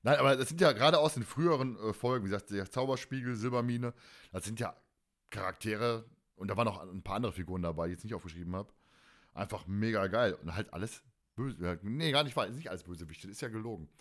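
A man's voice: fairly low pitch, fast, fairly bright tone. A man co-hosting a fan podcast, chatting casually in a colloquial, joking way. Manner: colloquial, jokey. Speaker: podcast co-host.

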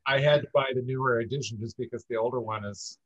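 A man from upstate New York, a guest in a casual interview, talking in an earnest, measured way with light laughs. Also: medium pitch, steady tone, no room sound. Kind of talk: casual video-call interview. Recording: dull muffled dry audio.

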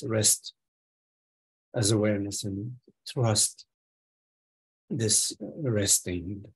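A man with a very husky, slightly gravelly voice guides a meditation. He speaks very slowly, in short, sparse phrases with long silences between them. His pitch sits on the low side, and his delivery is that of a teacher giving gentle instructions. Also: rough recording.

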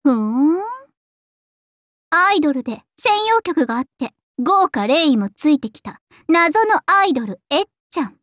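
Super high girly anime game dragon princess, vain and playful, voice swooping everywhere, dry recording. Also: sing-song, unhurried, fairly bright tone, no echo, heavily acted. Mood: sulky, haughty. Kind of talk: Japanese anime game character voice lines.

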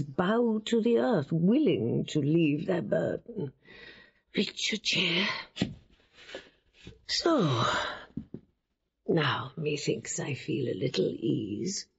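Husky, ailing queen, speaking slowly. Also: on the low side, lo-fi sound, full character voice. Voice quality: husky, creaky, breathy. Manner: slow, pained, dignified. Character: ailing, weary, noble deposed queen. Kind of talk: Shakespearean audio drama acting.

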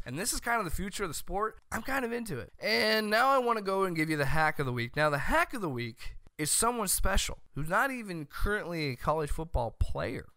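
An American man in his thirties giving loud, emphatic, opinionated sports commentary as a show host, close on a studio mic. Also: fairly high pitch, smooth, no room sound. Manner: loud, emphatic, exasperated ranting. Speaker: host of a college football show. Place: small room at home. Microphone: close studio mic on a boom arm.

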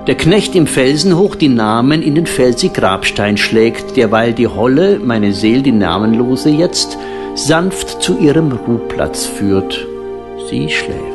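A man reciting a solemn poetic audio drama in a hushed, breathy manner, with drawn-out phrases. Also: mid-range voice, animated. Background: new-age instrumental music.

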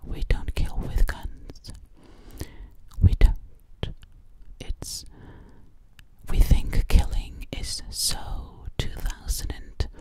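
A young woman playing a gentle, curious alien girl in an ASMR roleplay, whispering breathily very close to the mic and softly repeating her phrases. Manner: slow, soothing, repeats words and phrases. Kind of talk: ASMR personal-attention roleplay. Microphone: very close to a large microphone.

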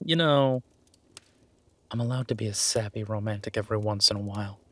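A man playing an affectionate, easygoing werewolf boyfriend in an intimate roleplay audio, with a husky, breathy voice and a soft, teasing manner. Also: on the low side, fairly quick. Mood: tender, excited. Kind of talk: intimate boyfriend roleplay audio, casual one-sided chat.

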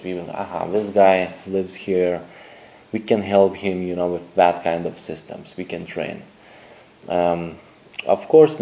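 A young man, a dating coach making a sales pitch to camera indoors, talking in halting, repetitive, phrasing. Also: mellow. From Lithuania. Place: indoor room with a TV screen behind.

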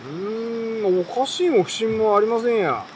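A folksy male bird catcher from a storybook , acted out by a man reading aloud, talks in a colloquial, self-assured way. The voice is a little high, matter-of-fact and explaining, with faint outdoor crickets behind. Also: expressive, normal speed, darkish tone. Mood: explaining, breezy. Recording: outdoor night crickets and campfire noise.

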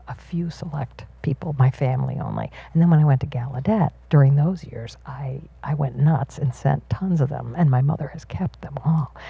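Very husky, dark-voiced woman interpreting. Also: low-pitched, lo-fi sound. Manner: halting, with frequent fillers and restarts while following the signer. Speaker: sign language voice interpreter. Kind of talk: live spoken interpretation of a panel talk.

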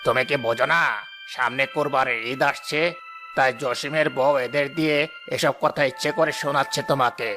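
A man's voice: mid-range voice, steady tone, a little fast, natural voice. A man playing a boastful, competitive village chief and husband, self-important yet reassuring, in a cartoon. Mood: soothing. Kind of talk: cartoon dialogue. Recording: music behind the voice.

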